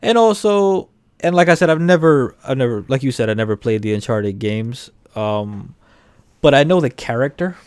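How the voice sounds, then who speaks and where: A man's voice: medium pitch, lively intonation, normal speed, darkish tone, clean tone, no echo. A man co-hosting a casual review podcast, talking in a playful, slangy way. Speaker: podcast co-host, movie reviewer. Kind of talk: casual joking chat.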